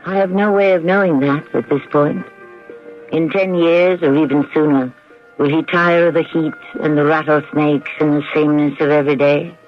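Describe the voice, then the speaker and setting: A man narrating as a radio host, measured and rhetorical, in dramatic scripted style. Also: on the high side. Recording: dull lo-fi old radio broadcast. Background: music.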